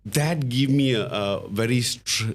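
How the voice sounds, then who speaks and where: A middle-aged man from Malaysia with a Malaysian English accent, a podcast guest telling his story in a halting way, full of fillers and restarts. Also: medium pitch, faint music behind. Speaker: guest, company founder.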